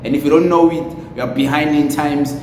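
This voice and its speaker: Male commentator, South African accent, fairly high voice, casual and repetitive delivery, recorded in a very echoey space. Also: fairly even intonation, fairly quick, a little mellow. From Limpopo, South Africa. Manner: rambling, repetitive. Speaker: commentator presenting a clip. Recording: echoey, noisy room with rumble.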